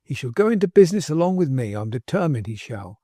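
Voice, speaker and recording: A man voicing an excitable little barber who talks fast and high, half laughing and half crying. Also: dry recording, studio quality. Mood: excited.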